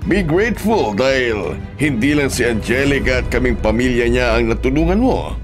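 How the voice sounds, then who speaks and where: Man acting a menacing, mocking villain surgeon with swooping pitch. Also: lively intonation, normal speed, over music, lightly acted. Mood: smug, businesslike. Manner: taunting, theatrical, switching between Tagalog and English. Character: menacing, mocking surgeon father who harvests organs. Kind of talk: Filipino radio drama acting.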